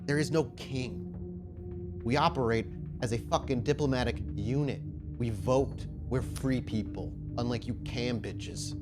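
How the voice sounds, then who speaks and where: A man's voice: medium pitch, measured pace, music in the background, lightly acted. A man playing a defiant, foul-mouthed anarchist vampire captive who talks like a street tough, in a tabletop game. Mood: bitter, defiant. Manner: profane, snarling street-tough talk.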